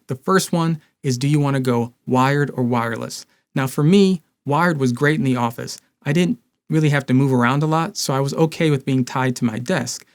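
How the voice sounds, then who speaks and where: A man's voice: medium pitch, darkish tone. Man explaining to camera, mid-range voice. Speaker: product review host. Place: small indoor home studio.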